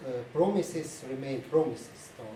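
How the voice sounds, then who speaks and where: A man who is not a native English speaker gives a formal conference speech in a halting, hesitant way. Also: mid-range voice, lively intonation, mellow. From Ukraine-born, lived mostly in Estonia. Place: indoor conference room. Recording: echoey room, distant mic, faint noise.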